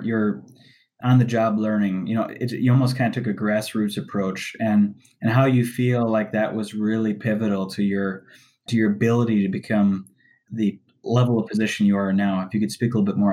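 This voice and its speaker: A man's voice: on the low side, fairly even intonation, a little fast, a little mellow. A man hosting an interview podcast, introducing a guest and asking questions in a friendly way with frequent fillers. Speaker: podcast host.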